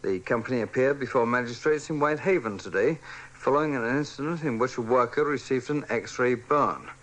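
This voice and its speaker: A man's voice: medium pitch, fairly quick, fairly bright tone, rough recording. A man with a British accent reading a radio news bulletin in a formal style. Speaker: radio newsreader.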